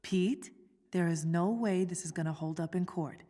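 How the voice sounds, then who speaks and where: A woman voicing a steady, serious, determined young ally in a video game, in a reverberant space. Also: lowish voice, moderate pace, natural voice. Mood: firm, reassuring. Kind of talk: naturalistic video game voice acting. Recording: long reverberant room echo.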